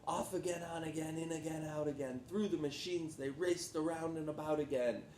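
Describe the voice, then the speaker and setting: A man narrating a children's storybook aloud in an expressive, rhythmic storytelling delivery. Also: a little fast, distant mic. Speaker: teacher reading aloud. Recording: roomy echo with background rumble noise.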